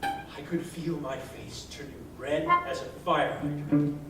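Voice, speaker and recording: A man's voice: a little high, fairly even intonation, measured pace. An American man giving a dramatic first-person reading of a hardboiled short story in a colloquial, streetwise manner. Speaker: story reader. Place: indoor arts venue, live performance. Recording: echoey live venue, distant mic, music behind. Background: live guitar, harmonica and percussion music.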